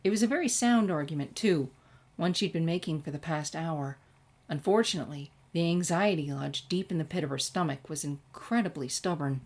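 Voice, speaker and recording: A woman reading a scripted story aloud in expressive narration. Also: deep voice, fairly quick. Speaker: fan fiction reader.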